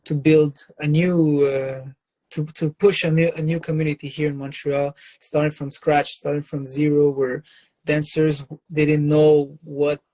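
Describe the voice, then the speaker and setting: Man, dancer, slightly high voice, flat delivery, halting, repeats himself. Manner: halting, repeats words, says "you know" often. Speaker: electro dancer. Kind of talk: casual interview talk.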